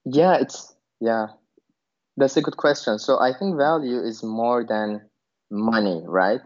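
A man's voice: medium pitch, slow pace. A man from Turkey answering interview questions about his work, thinking aloud with many fillers and restarts. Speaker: podcast guest, product manager. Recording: dull lo-fi sound.

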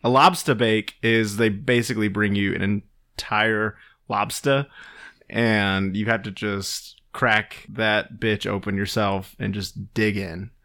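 A man chatting as a podcast guest, joking in deadpan banter. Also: on the low side, slow pace. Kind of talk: casual podcast chat.

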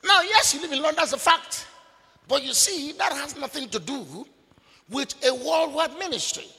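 High, gravelly preacher. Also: male voice, lively intonation, moderate pace. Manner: emphatic, repetitive, bursts of shouting. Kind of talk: sermon. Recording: long reverb of a large hall.

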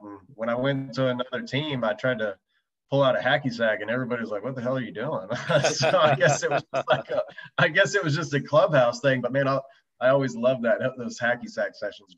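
A man's voice: mid-range voice, brisk, fairly bright tone, husky, muffled recording. A man from the US West Coast chatting casually as a podcast guest, reminiscing. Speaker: podcast guest, former baseball player.

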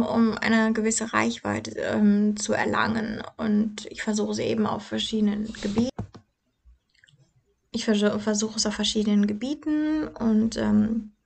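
Young female podcast host, casual and halting, slightly high, flat voice. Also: vocal fry. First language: German. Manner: hesitant, many fillers and restarts. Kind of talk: casual personal monologue.

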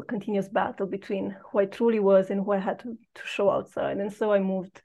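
A woman in her late thirties from Romania, a podcast guest telling her personal story in a halting, reflective way with many pauses. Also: medium pitch, a little mellow, dull audio.

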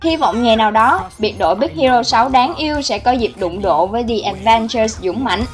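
A woman's voice: fairly high pitch, a little fast. A woman reading scripted narration as the host of a countdown video. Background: background music.

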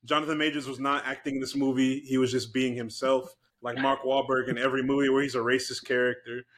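A man's voice: medium pitch, level tone, quick pace, a bit bright. A man co-hosting a casual movie podcast, joking and laughing often, loose and profane as he ranks films. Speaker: podcast co-host. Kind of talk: casual movie-review banter.

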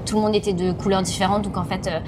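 A woman's voice: mid-range voice, steady tone, fast talker, background noise. A woman from France hosting a casual podcast, restarting her sentences often. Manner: stammering restarts, laughs easily.

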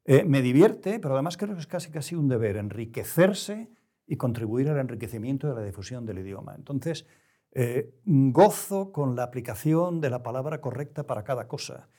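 Soft-toned man, measured and reflective. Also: mid-range voice, expressive. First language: Spanish. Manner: measured, searching for words, frequent restarts. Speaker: novelist, interview guest. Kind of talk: reflective interview talk.

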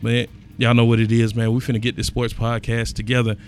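Lively man hosting a sports podcast. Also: mid-range voice, fairly quick, a little hiss or hum. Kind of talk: casual podcast banter.

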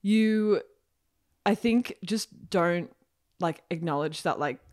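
A woman's voice: lowish voice, slow, darkish tone. A woman from Ireland with an Australian accent, a guest journalist talking in a rambling, chatty way full of fillers. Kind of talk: casual podcast chat.

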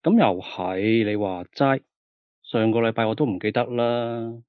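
A man's voice: mid-range voice, measured pace, clean tone, dry recording, phone-quality sound, close to an everyday voice. A man with a Hong Kong Cantonese accent playing a flippant, bossy aging male film star in a radio drama. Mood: exasperated. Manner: exasperated, glib, bossy. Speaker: actor character on the phone.

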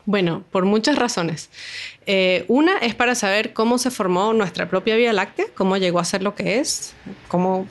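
A woman's voice: mid-range voice, moderate pace. Venezuelan woman explaining patiently. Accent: Venezuelan Spanish. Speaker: astronomer, guest. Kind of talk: explanatory interview talk.

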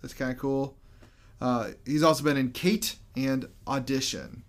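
A man's voice: medium pitch, unhurried. Man, casual podcast co-host, chatty, laughs a lot, runs through film credits and scores. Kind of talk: casual movie review chat.

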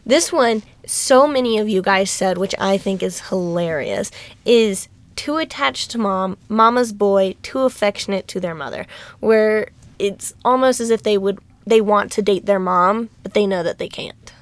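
Young woman, high-pitched, giggly, rambling podcast host. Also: measured pace, dry recording. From Alabama. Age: young adult. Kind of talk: casual podcast chat.

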